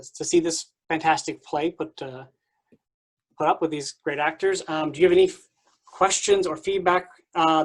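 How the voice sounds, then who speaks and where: A woman reading aloud and hosting at a staged play reading. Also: low voice. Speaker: stage-directions reader and moderator.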